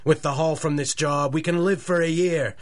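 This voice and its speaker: Guy doing a tough-talking 30s mobster boss, cruel and cocky, highish voice, old radio drama style. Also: fairly even intonation, moderate pace, lightly acted. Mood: menacing, bitter. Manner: tough-guy slang delivery. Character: callous, boastful male 1930s gangster boss.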